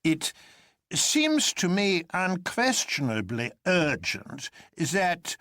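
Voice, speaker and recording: A man voicing an elderly professor in a story, laboured and elaborately calm, dragging out his words. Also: high voice, drawn-out words, no room sound, studio quality, voice pushed up, exaggerated voice. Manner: drags words out ponderously.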